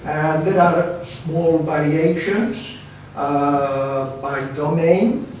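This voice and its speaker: A man in his sixties from Spain with a Spanish accent, giving a seated conference presentation with a halting, hesitant delivery. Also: a little high, a little mellow. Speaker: academic speaker, research council member. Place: seminar room, indoors. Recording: very echoey room, distant mic, faint noise.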